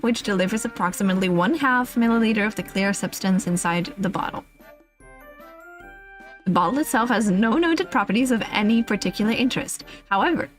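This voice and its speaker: A woman streamer reading a humorous list aloud in a casual, playful, giggly way, with offhand asides. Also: medium pitch. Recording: music playing behind the voice. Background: background music.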